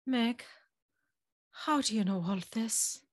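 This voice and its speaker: A woman's voice: a little high, slow pace. A woman playing an anxious, curious young servant girl who questions hesitantly, in a gothic audio drama. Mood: uneasy curiosity.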